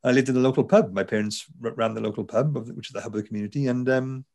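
A man's voice: mid-range voice, steady tone, racing, gravelly, a little mellow. A man from South Wales with a Welsh accent, an author and neuroscientist talking in a casual, rambling interview with lots of fillers and restarts. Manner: chatty, frequent fillers and restarts. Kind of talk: conversational interview.

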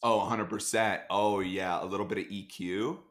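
A man as a podcast guest in casual chat, rambling with dark deadpan jokes over a video call. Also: low-pitched, normal speed.

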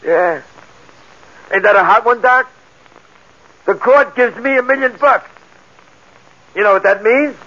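An actor playing a hysterical small-time convict and bank robber in a 1940s radio drama, talking in rough underworld slang. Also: male voice, extremely high pitch, measured pace, pauses often, sharp tone, exaggerated voice. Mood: excited, bewildered. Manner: rough slangy underworld talk, breaking into manic laughter. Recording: dull lo-fi old radio recording.

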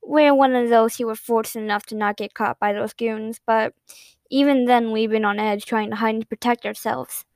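A gentle, innocent young boy with a sweet, reassuring way of talking, as a woman reads him aloud. His voice sits high and bright, close and dry as if spoken right into the mic. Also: fairly even intonation, moderate pace, no echo, close to an everyday voice.

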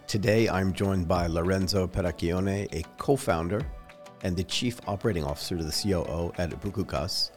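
Deep-voiced male podcast host. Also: moderate pace, faint music behind. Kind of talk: podcast interview hosting.

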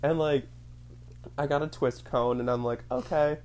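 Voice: mid-range voice, steady tone, normal speed, darkish tone. A speaker chatting casually and telling a rambling story, full of fillers and acted-out inner quotes. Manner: rambling, filler-heavy, acts out own thoughts in quotes.

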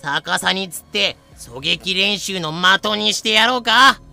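Someone acting cocky pirate captain, rough talk, gravelly and sharp, fan radio play. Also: medium pitch, slow pace, soft background music, full character voice. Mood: menacing. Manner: rough, swaggering speech. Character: brash male pirate captain, menacing thug turned chummy. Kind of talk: fan audio drama acting.

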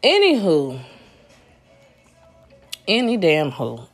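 A woman with a lowish voice and a slight Southern US lilt, venting on her solo podcast. She rambles, restarts her sentences and swears freely, sounding a little stuffed up from allergies. Faint music sits underneath her. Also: wildly swooping pitch, slow, slightly rough. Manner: blunt, profane, rambling with restarts. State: congested from allergies. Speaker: podcast host. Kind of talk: casual solo podcast rant.

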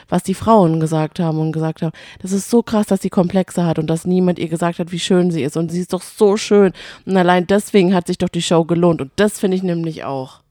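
A woman's voice: fairly low pitch, mellow, no room sound, clean studio sound. A woman co-hosting a podcast, chatting casually about reality TV with gushing, emphatic opinions. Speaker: podcast co-host. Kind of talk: casual reality-TV recap chat.

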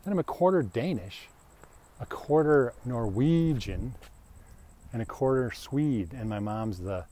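A man's voice: medium pitch, lively intonation, a little slow, dark tone. A man in his fifties talking casually on a solo podcast, rambling and self-deprecating, often tossing in "right?". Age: 50s. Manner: chatty, self-deprecating, frequent "right?" asides.

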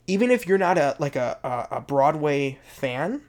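A man's voice: fairly high pitch, big pitch swings, moderate pace, a little mellow. A man co-hosting a casual podcast, chatting eagerly and repeating words for emphasis. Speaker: podcast co-host. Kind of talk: casual chat.

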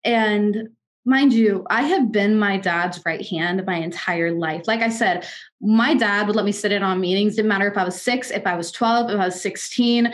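A woman's voice: mid-range voice, normal speed. A twenty-year-old woman from Kansas City, a music artist and executive, telling her story in an interview with earnest, articulate emphasis. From Kansas City, United States. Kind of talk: candid conversational storytelling.

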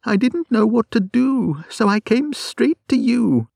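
Man putting on a frightened high-voiced woman. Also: flat delivery, takes it slowly, darkish tone, dry recording, clean studio sound. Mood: distraught. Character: distressed young woman, pleading and frightened.

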